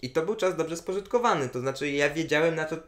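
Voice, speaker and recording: A man's voice: fairly high pitch, lively intonation, fast, a bit bright. Young man chatting in an echoey room. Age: 20s. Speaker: guest film reviewer. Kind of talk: casual film discussion chat. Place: small room indoors, on a sofa.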